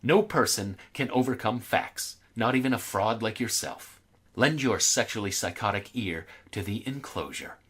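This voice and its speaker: A man's voice: fairly low pitch, animated, normal speed, a bit bright, breathy edge. Man acting as a scornful, menacing accuser. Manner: accusatory, contemptuous, emphatic oratory. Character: anonymous hostile letter writer, scornful, menacing, self-righteous man. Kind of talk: dramatic reading of a threatening letter.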